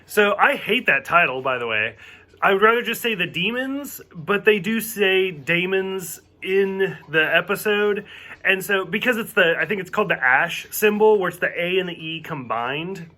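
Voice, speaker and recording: A man's voice: high voice, expressive, moderate pace, sharp tone. A man from the southern United States with a mostly hidden Southern accent, chatting casually through a TV review and rambling with lots of fillers. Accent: light Southern US, mostly covered.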